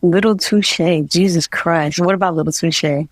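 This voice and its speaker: A woman's voice: fairly low pitch, steady tone, moderate pace, no echo. A young woman from New Jersey, a guest artist, talking casually in an interview and rambling with many fillers. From New Jersey, USA.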